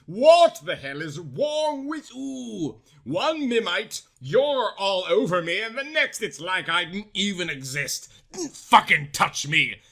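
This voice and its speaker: A man's voice: high-pitched, sing-song, slow pace, hardly any pauses, fairly bright tone, pitched above the performer's own voice, exaggerated voice. A man voicing a sarcastic, foul-mouthed teenage girl with indignant, slangy delivery in a parody story. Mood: furious.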